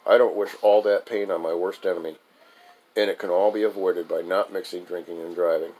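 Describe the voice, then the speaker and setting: A man with a gravelly voice, an author reciting his own writing and addressing his listeners. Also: sits low, steady tone, fairly quick, darkish tone, poor audio. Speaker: author reading own book.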